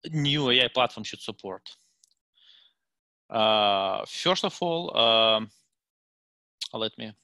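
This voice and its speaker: A man in his thirties with a Russian accent, giving a meetup presentation over a headset on a video call from a room at home, halting with many fillers and restarts. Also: medium pitch, sharp tone, no room sound. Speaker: guest speaker.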